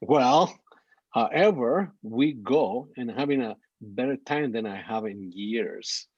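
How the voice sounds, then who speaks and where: A man playing an easygoing, loyal older sidekick in a screenplay read, casual and halting, on dull call audio. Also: medium pitch, animated, breathy edge, no room sound. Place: remote virtual call.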